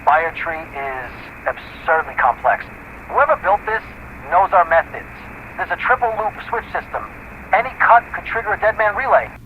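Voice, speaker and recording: A man's voice: sits high, big pitch swings, moderate pace. A man playing an anxious bomb technician in a military radio drama, technical and urgent. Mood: urgent, alarmed. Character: anxious male bomb technician assessing a complex device. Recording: tinny, noisy radio transmission.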